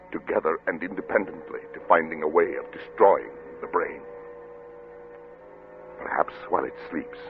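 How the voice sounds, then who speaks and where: An actor playing a tormented, fearful middle-aged scientist father in 1940s-style radio drama, with intense, halting, melodramatic delivery. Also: extremely low pitch, vocal fry. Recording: old muffled radio recording with heavy noise.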